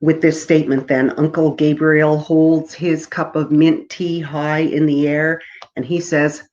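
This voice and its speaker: A woman's voice: deep voice, level tone, unhurried, a little mellow, muffled recording. A woman from Canada, an author reading her own story aloud with informal asides to listeners.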